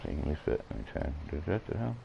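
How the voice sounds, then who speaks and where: A man with an Australian accent, croaky from coughing, rambling through farming-game commentary with many halting fillers. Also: very deep voice, sing-song, dull audio, background noise. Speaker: gameplay streamer.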